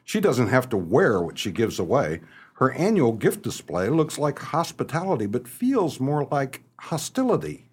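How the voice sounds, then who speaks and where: Man acting a wildly animated, sardonic small-town husband. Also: medium pitch, moderate pace, husky. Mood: wry, grumbling. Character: exasperated, wry small-town husband mocking a socialite. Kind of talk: stagey comedic radio play acting.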